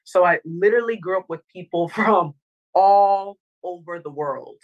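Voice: mid-range voice, moderate pace, highs cut off. A guest born in Dominica and raised in Northern Virginia talks in a mid-range voice. They speak earnestly and reflectively, like a motivational speaker. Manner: earnest, reflective, says "you know what I mean". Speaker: interview guest, motivational speaker and former TV anchor. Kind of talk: casual interview chat.

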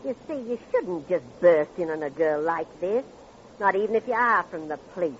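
A woman's voice: lowish voice, expressive, moderate pace, close to an everyday voice. A woman playing a vain, flippant, coquettish divorcee in a 1950s radio crime drama, with an affected, coy delivery. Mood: coy, preening. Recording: muffled, noisy vintage radio recording.

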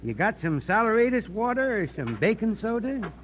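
An eager frontier foreman, high for a man and swinging widely in pitch. He is hesitant, polite and coaxing, American-accented, in vintage radio drama style with faint noise on the recording. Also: sing-song, normal speed, highs cut off. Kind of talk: old-time American radio drama acting.

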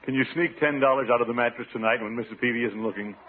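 A man's voice: medium pitch, rapid-fire, lightly acted. An American actor playing a flustered, penny-pinching middle-aged bachelor in a 1950s radio comedy, hemming and sputtering as he goes. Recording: narrow-band old radio recording, faint noise.

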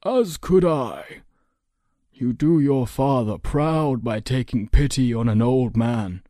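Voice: mid-range voice, dark tone, no echo, clean studio sound, voice pushed up, close to an everyday voice. A performer voicing a kindly, gracious old male traveler in a storybook, with a wheezy voice. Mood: kindly, grateful. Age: elderly. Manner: slow, gracious. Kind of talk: audiobook character acting.

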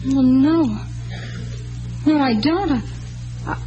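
An actress playing an earnest young schoolteacher, flustered and easily indignant, in an old-time radio drama. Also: female voice, sits high, slow. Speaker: actor. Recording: dull old radio recording with faint music.

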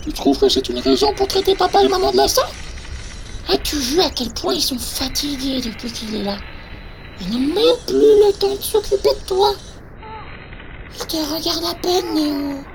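Casting: sinister talking toy for audio drama. Piercingly high, creaky, coaxing, sing-song and theatrical, drawn-out words. Noisy ambience. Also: animated. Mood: insinuating. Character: sinister living toy, coaxing and manipulating a child. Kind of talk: audio fiction acting.

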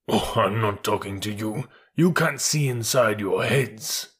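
A man's voice: on the low side, unhurried, a bit bright, husky. A man voicing a nervous, easily offended male elephant in a storybook, fussy and self-pitying in manner. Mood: indignant, lofty.

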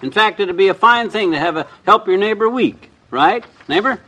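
Work-shy schemer husband, sharp bright voice, animated pitch, folksy grumbler, classic radio comedy. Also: male voice, moderate pace, heavily acted. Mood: eagerly persuasive.

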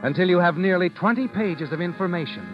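An all-knowing, insinuating narrator in old-time radio style. His fairly high voice swings dramatically as he needles his listener. Music plays behind the muffled recording. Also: lively intonation, quick pace. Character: insinuating, omniscient male narrator addressing the schemer.

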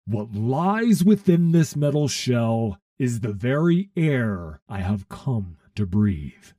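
An actor playing a commanding, zealous half-machine warlord in an audio story, preaching in a grandiose, solemn, deliberate manner. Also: male voice, medium pitch, sing-song, warm tone, dry recording, studio quality. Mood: fervent, commanding. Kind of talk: dramatic audio story acting.

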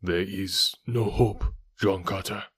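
A man's voice: deep voice, fairly even intonation, slow, fairly bright tone, slightly rough, no room sound, clean studio sound, heavily acted. A man reading aloud voices a grave, loyal warrior ally who speaks formally and solemnly. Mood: urgent. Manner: solemn, formal archaic speech.

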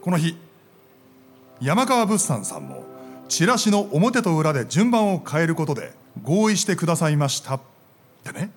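Male corporate manager, high and extremely animated, persuasive radio drama delivery over faint music. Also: normal speed. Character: persuasive, theatrical male department head defending his staff. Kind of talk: radio drama acting before a live audience.

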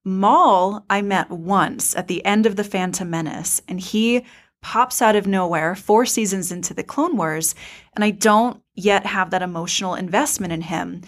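A woman's voice: mid-range voice, normal speed. Enthusiastic woman chatting on a fan podcast. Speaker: podcast host. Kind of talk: casual fan discussion.

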